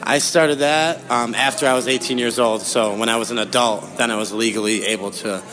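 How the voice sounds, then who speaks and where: A man's voice: medium pitch, fairly even intonation, brisk, sharp tone, faint music behind, poor audio. A young adult man answering interview questions in a casual, conversational way as a guest. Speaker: interview guest, professional fighter.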